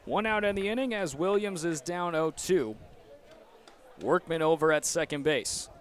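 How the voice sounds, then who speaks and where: A young man with an American accent calls a baseball game live. His voice sits somewhat high. He speaks into a headset mic as a play-by-play announcer. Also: moderate pace. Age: young adult. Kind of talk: live sports commentary.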